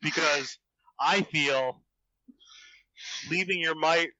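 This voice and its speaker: Guy with a bright highish voice ribbing his friends on a podcast, bit lo-fi sounding. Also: slow, dry recording. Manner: teasing, mock-indignant, dry ribbing. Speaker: podcast guest. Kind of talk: casual banter. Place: remote group voice call.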